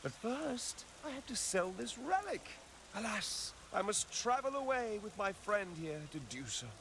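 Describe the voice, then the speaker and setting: An actor playing a roguish young scoundrel in a video game, a glib, theatrical and wheedling smooth talker. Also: male voice, high voice, sing-song, a little slow, a bit bright, a little hiss or hum, heavily acted. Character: roguish young male scoundrel, flippant smooth-talking charmer.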